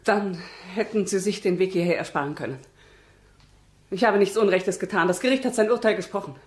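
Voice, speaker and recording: A woman's voice: mid-range voice, fairly quick, close to an everyday voice. Actress as hostile woman in echoey room. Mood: indignant. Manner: curt, indignant. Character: defensive, hostile woman witness hiding the truth. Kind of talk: German radio drama acting.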